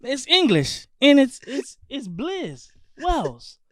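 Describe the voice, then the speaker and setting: A man from Long Beach, California, a guest music artist talking in an animated, profane, emphatic way in a casual sit-down interview. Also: very high-pitched, slow, no room sound, clean studio sound.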